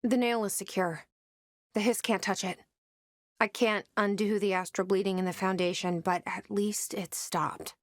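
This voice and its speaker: A woman's voice: mid-range voice, steady tone, moderate pace, no echo, natural voice. A woman playing a young, determined bureau director in a video game, wry, intent and self-questioning. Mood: guarded. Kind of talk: video game dialogue and inner monologue.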